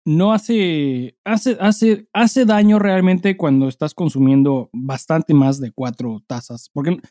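A man's voice: on the high side, slow, dark tone, no room sound, studio quality. A man with a Mexican accent co-hosting a casual podcast, talking in loose, sweary slang as he chats and reads listener mail. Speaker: podcast co-host.

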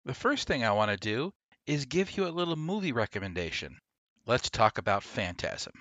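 Bright, punchy male podcast host. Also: mid-range voice, very animated, moderate pace, dry recording. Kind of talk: scripted podcast monologue and film review. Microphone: close, dry podcast microphone.

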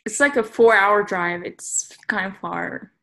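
Teen girl from Korea, podcast guest, mid-pitched, hesitant, lots of fillers. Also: a little slow, vocal fry, fairly bright tone. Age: teens. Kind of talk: casual chat.

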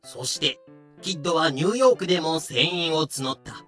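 Male narrator for a scripted audio drama, crisp bright tone, somewhat high, over soft music. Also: expressive, normal speed.

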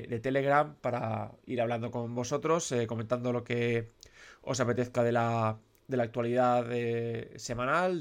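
Guy with a stuffy nose hosting a radio show, kinda gravelly and rambly, lots of ums and restarts. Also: mid-range voice. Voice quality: nasal. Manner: rambling with many fillers and restarts. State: congested with a cold. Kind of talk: casual radio talk.

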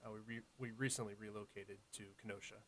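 Halting American male musician guest. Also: fairly low pitch, fairly even intonation, a bit bright, breathy edge. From Washington, D.C., United States. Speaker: band musician, radio guest. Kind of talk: casual interview chat.

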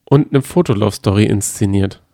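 A man's voice: on the low side, fairly quick, creaky, warm tone, no echo, studio quality. A young man co-hosting a podcast, chatting casually in a joking, self-ironic way. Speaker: co-host of a reality TV podcast. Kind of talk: casual chat.

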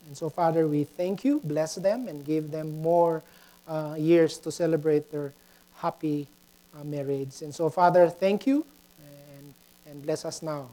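A woman with a Filipino accent preaching in a halting way, often repeating words, moving between prayer and anecdote. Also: deep voice, dark tone. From Philippines.

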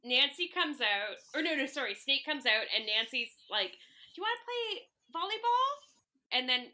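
A woman's voice: high-pitched, big pitch swings, normal speed, bright, forceful tone. A woman chatting casually about a film, enthusiastic and giggly. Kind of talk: casual film commentary chat.

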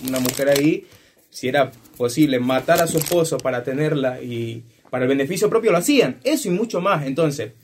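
Guy in a chat, normal pitch, keeps searching for words as he explains. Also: expressive, fairly quick. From Ecuador. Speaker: discussion participant. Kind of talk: casual discussion.

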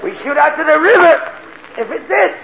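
Slangy New crook, muffled old radio. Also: male voice, very high voice, lively intonation, moderate pace, creaky, exaggerated voice. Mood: tense, straining. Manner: colloquial, tough-guy slangy. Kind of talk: 1940s radio crime drama acting. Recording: muffled old-time radio recording, background hiss.

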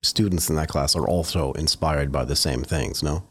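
A man's voice: rumbling low, moderate pace, slightly rough. A man hosting a podcast, chatty and enthusiastic as he interviews a guest. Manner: enthusiastic, chatty, laughs easily. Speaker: podcast host. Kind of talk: casual interview chat.

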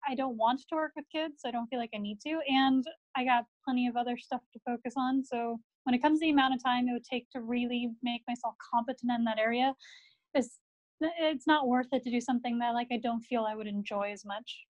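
A woman's voice: a little high, steady tone, a little fast, no room sound. A woman talking casually as a therapist on a podcast, explaining things conversationally on a slightly dull lo-fi recording.

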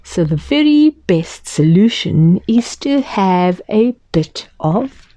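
A woman voicing a joyful, gentle mother who reassures her son in a read-aloud storybook. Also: mid-range voice, big pitch swings. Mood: reassuring. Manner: warm, reassuring, slow and emphatic.

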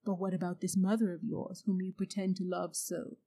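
A woman reading a storybook aloud and voicing a proud, cruel witch who speaks loudly and coaxes the listener. Her voice is dark and husky. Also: fairly low pitch, level tone, normal speed. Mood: tempting. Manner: loud, commanding and coaxing.

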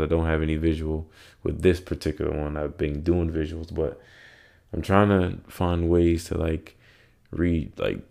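A man's voice: extremely low pitch, creaky, a little mellow. A young man, congested and with a sore throat, hosting a casual solo podcast and rambling with lots of fillers and restarts. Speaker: podcast host.

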